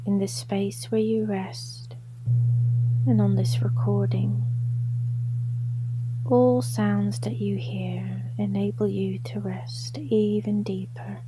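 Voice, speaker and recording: Very slow, hushed woman guiding meditation. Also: medium pitch, level tone, poor audio. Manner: soothing, hushed, gentle. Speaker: yoga nidra guide. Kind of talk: guided sleep meditation. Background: gongs and singing bowls.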